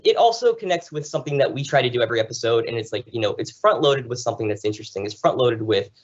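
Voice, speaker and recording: Male American podcast guest, conversational and animated storyteller, mid-pitched voice, slightly lo-fi recording. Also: racing. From New Jersey, United States. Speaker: podcast guest, travel show host. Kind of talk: conversational interview, enthusiastic storytelling.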